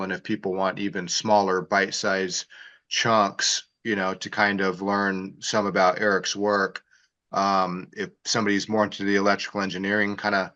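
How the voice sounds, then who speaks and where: Low, flat-voiced host full of fillers. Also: male voice, highs cut off. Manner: hesitant, lots of fillers. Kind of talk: casual interview talk. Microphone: video call.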